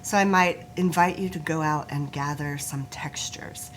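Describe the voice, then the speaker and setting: A woman's voice: low voice, normal speed, slight background noise, poor audio. A woman guest on a talk show, a forest therapist, explaining her work in an earnest, informative way. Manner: earnest, informative, laughs easily, some restarts.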